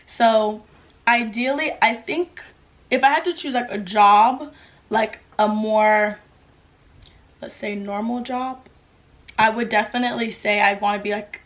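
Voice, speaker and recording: A woman's voice: a little high, fairly even intonation, unhurried, pauses often. A woman in her late teens, from the US, answering viewer questions in a casual, upbeat vlog, full of "like" fillers. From United States. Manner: chatty, upbeat, lots of "like" fillers. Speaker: YouTuber. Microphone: camera mic with ring light.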